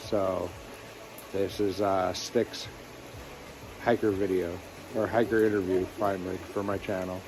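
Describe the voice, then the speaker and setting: Low-voiced male hiking vlogger, noisy outdoors. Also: flat delivery, unhurried, long pauses. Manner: rambling, thinking aloud. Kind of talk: casual video diary talk. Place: outdoors by a road or trail. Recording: outdoors with loud highway traffic noise.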